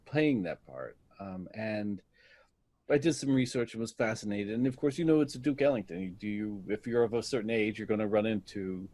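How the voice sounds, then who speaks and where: A middle-aged man talking casually as an actor being interviewed, telling stories and often pausing to search for words. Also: lowish voice, lively intonation, darkish tone, no echo.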